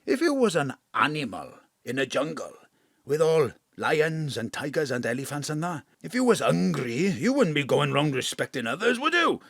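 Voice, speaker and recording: A cynical Welsh crook and family man in an audio play. His husky voice swings freely up and down as he talks in a blustering, streetwise patter. The sound is close and dry. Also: a little high, very animated, normal speed, a bit bright, dry recording, heavily acted. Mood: streetwise, lecturing. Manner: colloquial, blustering, streetwise lecturing. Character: roguish thief father, cynical, selfish, proud of stealing. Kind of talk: audio drama acting.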